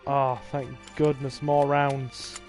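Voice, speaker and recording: A man's voice: medium pitch, little pitch movement, slow pace, a little mellow, faint music behind. A man with an English accent, casually commentating a horror game on a livestream and chatting to his viewers. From England. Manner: chatty and laid-back, talking to viewers while playing. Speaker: gaming streamer.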